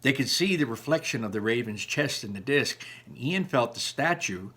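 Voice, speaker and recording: Bright-voiced man reading a children's story aloud. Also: mid-range voice. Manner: expressive, pausing to explain to listeners. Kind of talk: animated children's book read-aloud with chatty asides.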